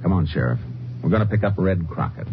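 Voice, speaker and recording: A dull old radio recording of a steady male lawman with a very deep voice. He talks briskly but calmly, firm and plain-spoken, as a reassuring Texas Ranger in 1950s radio style. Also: over loud music.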